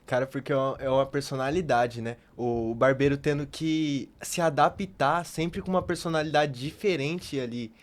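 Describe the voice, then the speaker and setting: A man's voice: mid-range voice, normal speed, few pauses. A man with a Brazilian accent chatting casually as a podcast co-host. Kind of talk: casual chat.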